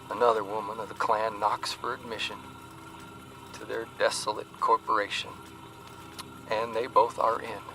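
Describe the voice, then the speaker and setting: A man's voice: medium pitch, pushed and bright, low-quality recording. A man playing an earnest, self-deprecating, plain-spoken newspaper columnist narrating his own stories in an audio drama, in a folksy way. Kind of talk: scripted first-person audio drama narration.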